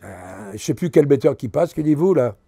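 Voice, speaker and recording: An older man, a veteran actor, telling animated anecdotes in a relaxed interview and acting out the lines of the people in his stories. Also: medium pitch, moderate pace, vocal fry. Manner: animated anecdotes, acts out quotes. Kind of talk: casual storytelling interview.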